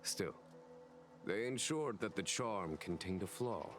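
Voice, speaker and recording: Calm fantasy game general, low voice, unhurried, formal, deliberate, burdened by duty, music behind. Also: male voice. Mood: wry, knowing. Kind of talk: video game voice acting.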